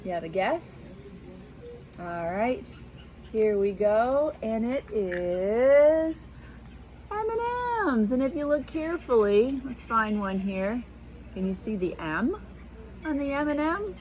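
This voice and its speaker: A woman's voice: a little high, sing-song, takes it slowly, a little mellow, slight background noise. A woman who works as a school media specialist, reading a picture book aloud to children and talking to them in a patient, teacherly way from her back porch outdoors. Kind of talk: children's read-aloud lesson.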